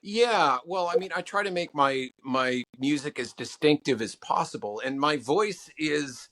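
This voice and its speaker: A man being interviewed as a songwriting guest, talking in halting stops and restarts with many fillers. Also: fairly bright tone. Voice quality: low voice. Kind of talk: podcast interview answers.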